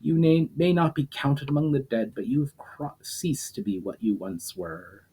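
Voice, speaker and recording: A man's voice: medium pitch, expressive, measured pace, warm tone, slightly rough, voice pushed up. A man reading a story aloud, voicing an ancient, scornful immortal demon who lectures coldly and derisively. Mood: cold lecturing.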